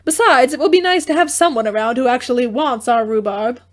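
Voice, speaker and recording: Casting: woman as storybook farm mother, practical and exclamatory, pitched high. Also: brisk, lightly acted. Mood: relenting. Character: practical, easily surprised farm mother in fairy tale. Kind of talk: fairy tale audiobook character voice.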